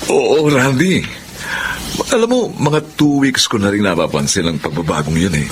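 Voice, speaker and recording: A man with a Filipino accent playing an amiable, long-suffering husband, chatty and expressive, in a radio drama. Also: lowish voice, normal speed, background noise, natural voice. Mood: relieved.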